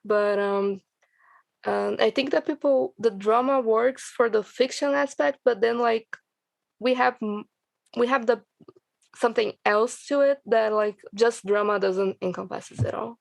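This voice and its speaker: A woman's voice: on the high side, measured pace, vocal fry, dry recording. A woman who makes fiction podcasts, talking casually in a group discussion. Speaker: fiction podcast creator on a panel. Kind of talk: casual chat.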